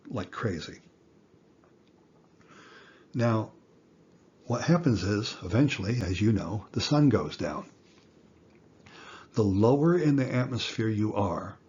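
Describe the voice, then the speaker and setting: A man in his sixties giving an educational lecture in plain words, with a deliberate, folksy manner. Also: lowish voice, darkish tone, highs cut off, a little hiss or hum. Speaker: instructor.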